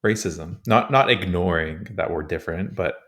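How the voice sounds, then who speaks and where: A man's voice: low voice, moderate pace, a bit bright. A young man co-hosting a podcast in a laid-back, joking way, laughing a lot and using many fillers. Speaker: podcast co-host. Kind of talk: casual comedic chat.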